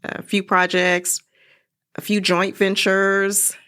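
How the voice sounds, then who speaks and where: A woman's voice: mid-range voice, flat delivery, slow pace, sharp tone, smooth. A woman chatting casually as a guest in an interview, recorded in a podcast studio.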